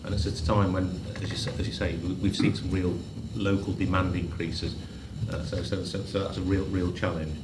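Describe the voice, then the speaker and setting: A finance adviser lays out budget options to a committee in an analytical, plain-spoken way. His voice is deep and flat, and he talks fast. The recording is roomy, with loud background noise. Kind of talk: formal committee meeting speech. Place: council meeting room.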